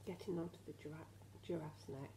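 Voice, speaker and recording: A woman reads a picture book to young children in a fairly low voice with a creaky edge. She is expressive and often speaks to the children directly with questions. A faint layer of noise sits behind her. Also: fairly quick. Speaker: teacher reading a storybook to young children. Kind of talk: expressive children's storybook reading with asides to the listeners.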